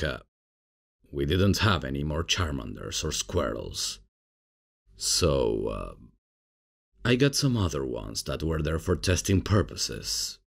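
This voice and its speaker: A man playing a laid-back, bumbling substitute professor in a comedic ASMR roleplay, casual and hesitant, with a deep, gravelly, husky voice. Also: exaggerated voice. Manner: casual, hesitant, chuckling, unprofessional. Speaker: roleplay audio performer.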